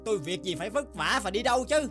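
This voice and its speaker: A woman's voice: medium pitch, animated, quick pace, soft background music, close to an everyday voice. A woman voicing a selfish, bad-tempered young rooster in a children's cartoon fairy tale. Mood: annoyed. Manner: brusque, dismissive.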